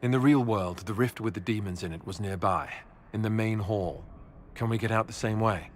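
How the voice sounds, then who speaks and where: Game casting: wary, practical fantasy hero played by a man, lowish voice, faint ambience. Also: normal speed, slight background noise, lightly acted. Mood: uneasy, puzzled. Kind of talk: fantasy video game acting.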